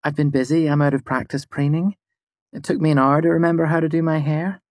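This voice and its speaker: A man's voice: a little high, steady tone, brisk, darkish tone, no echo, clean studio sound, voice pushed up, natural voice. A man voicing a sweet, modest, slightly self-conscious young woman in an audiobook. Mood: pleased, shy. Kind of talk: audiobook character dialogue.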